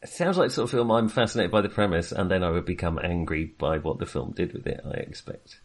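A man's voice: low voice, fast talker. A man chatting casually as co-host of a film review podcast, speaking in a hesitant, self-qualifying way.

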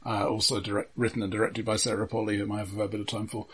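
Husky man discussing films, hesitant and measured. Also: fairly low pitch, little pitch movement. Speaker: film podcast co-host. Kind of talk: casual film discussion.

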